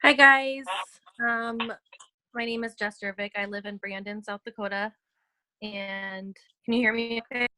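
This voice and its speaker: A woman introduces herself on a team call in an orderly, matter-of-fact way. Her delivery stays flat and even. Her tone is bright and the sound is dry and close. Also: on the high side, a little slow, no echo, low-quality recording. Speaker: single mom on a team video call. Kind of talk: casual introduction.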